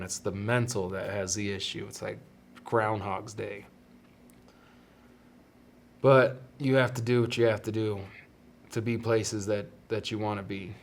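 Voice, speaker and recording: Stuffy-nosed young man hosting a podcast. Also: lowish voice. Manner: rambling and self-deprecating, laughs easily. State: stuffy, congested nose. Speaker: podcast host. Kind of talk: casual solo podcast talk.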